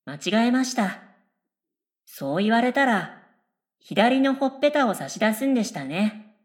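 A woman's voice: on the high side, big pitch swings, a little slow. A woman playing an airy, chatty middle school girl in a radio drama, speaking politely and with blithe confidence. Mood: blithely chatty. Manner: polite speech, blithely confident non sequiturs.

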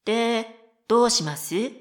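A woman voicing a polite, whimsical and stubborn middle-school girl in a comedic radio drama, deadpan and following her own rhythm. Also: on the high side, a little slow, lightly acted. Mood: coyly insistent. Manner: polite speech, deadpan, self-paced.

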